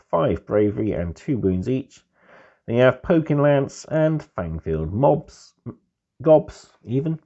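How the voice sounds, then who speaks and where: A hoarse man nursing a heavy cold hosts a laid-back review, his voice gravelly and worn. His tone is mellow and dark, but his pitch swings up and down with lively turns. The recording sounds dull and muffled. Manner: relaxed, easygoing, keeps calling things pretty cool. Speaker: host of a tabletop hobby channel. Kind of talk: casual magazine review.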